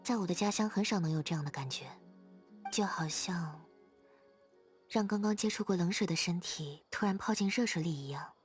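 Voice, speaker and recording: A low, soft female voice over faint music, an actress playing a reserved young ballerina in a video game. She is gentle and measured, a little hesitant as she speaks. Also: natural voice. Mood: quiet, tender. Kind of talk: video game character dialogue. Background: soft background music.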